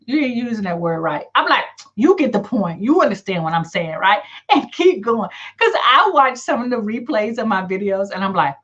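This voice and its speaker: A woman's voice: a little high, a bit bright. A middle-aged woman with a Southern US country accent, chatting casually and encouragingly as a livestream host, with folksy, colloquial phrasing. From southern United States. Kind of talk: casual chat reading live comments. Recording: dull, lo-fi livestream audio.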